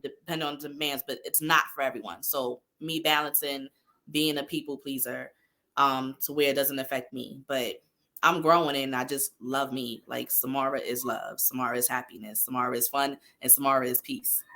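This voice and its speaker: A woman's voice: very deep voice, steady tone, normal speed, bright, forceful tone. A woman in her thirties co-hosting a podcast, chatting candidly with frequent laughter and self-affirming talk. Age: 30s. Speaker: podcast co-host. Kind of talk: casual chat.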